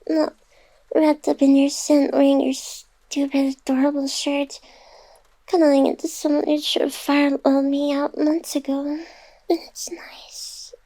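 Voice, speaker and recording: A woman's voice: high voice, little pitch movement, unhurried, poor audio. A woman playing a playful, guarded female AI who is secretly tender, in an intimate ASMR roleplay with teasing and denials. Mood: drowsy, teasing, then soft, confessing. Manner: tsundere, teasing, flustered denials over soft confessions.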